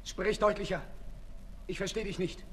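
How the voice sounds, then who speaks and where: Guy doing a feverish bossy revolutionary, terse, really high strained voice, echoey 60s radio play. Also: fairly even intonation, normal speed, very noisy, lightly acted. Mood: weary, demanding. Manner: commanding, terse. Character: sick, feverish, imperious male revolutionary. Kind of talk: 1960s German radio drama acting. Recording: 1960s radio recording, long reverberation.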